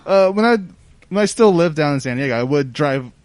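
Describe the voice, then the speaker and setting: A man's voice: fairly high pitch, expressive, a little fast, a little mellow, no room sound. A man co-hosting a podcast, chatting informally and chiming in agreeably. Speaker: podcast co-host. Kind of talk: casual chat.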